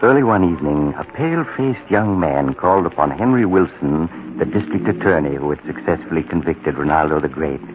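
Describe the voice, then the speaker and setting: An actor playing an ominous storyteller, narrating old-time radio horror in a solemn, measured way. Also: male voice, extremely low pitch, lively intonation. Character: ominous storyteller, a doctor of madness. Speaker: host and narrator. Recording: tinny, noisy old radio recording.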